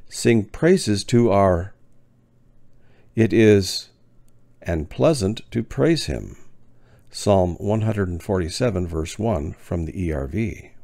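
Brief: deep gravelly male teacher, slow and deliberate, lively pitch. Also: no echo, clean studio sound. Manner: spaced-out words with long pauses, clear enunciation. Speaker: ESL teacher. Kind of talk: slow, deliberate lesson reading.

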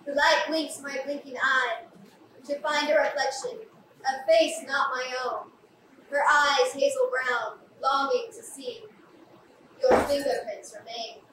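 A woman's voice: high-pitched, steady tone, measured pace. A young woman playing a brooding, wounded, accusing woman, grave and terse, in a theatrical staged reading. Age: young adult. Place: small café, live staged reading. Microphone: far camera. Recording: echoey, distant camera mic, faint noise.

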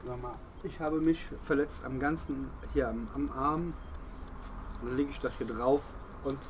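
A middle-aged man casually showing off his first-aid kit outdoors, with a relaxed, hesitant delivery and plenty of fillers. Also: medium pitch, measured pace, dull audio, background noise. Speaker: bushcraft video host. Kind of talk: casual show-and-tell. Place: outdoors on a patio by a wall.

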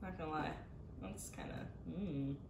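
A woman's voice: low-pitched, normal speed. A young woman co-hosting a cooking vlog, trading dry jokes in a home kitchen. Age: young adult. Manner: dry, joking asides. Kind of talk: casual chat while cooking. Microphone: camera across the room. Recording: echoey kitchen, distant mic, loud noise.

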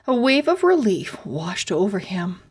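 A woman narrating a children's chapter book aloud, with careful, expressive storybook phrasing. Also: mid-range voice, a little slow, husky. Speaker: read-aloud host and narrator.

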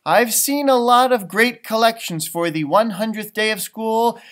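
A man voicing a kind, encouraging male schoolteacher in a storybook, with dramatic drawn-out emphasis. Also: sits high, fairly bright tone, voice pushed up. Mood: impressed.

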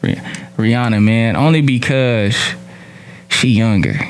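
A man's voice: mid-range voice, unhurried. A young man chatting casually and bluntly as a studio guest, with slang, swearing and repetition for emphasis. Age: young adult. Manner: blunt, profane, repeats himself for emphasis. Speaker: interview guest. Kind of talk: casual, slangy opinionated chat. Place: small radio studio. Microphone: studio broadcast mic on boom arm.

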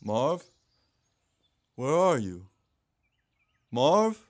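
A man's voice: mid-range voice, big pitch swings. A man playing a dim, good-hearted, timid crook's sidekick in an audio drama, with a hesitant, folksy manner. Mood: frightened. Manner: slow-witted, hesitant, folksy. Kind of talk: audio theater acting.

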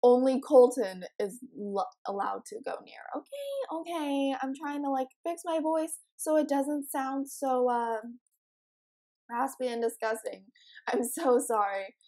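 Teen girl, raspy and a bit high-pitched, rambling and giggly gameplay commentary. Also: animated, a little slow, mellow. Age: teens. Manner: chatty, giggly, rambling with many ums. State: morning voice. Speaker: let's play host.

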